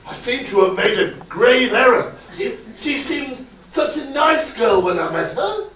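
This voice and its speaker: A man's voice: very high-pitched, big pitch swings, measured pace, a bit bright, exaggerated voice. A man playing a dithering, henpecked older father with hesitant, stammering amateur pantomime delivery. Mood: regretful. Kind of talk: broad amateur pantomime stage acting. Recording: echoey, noisy hall from far off.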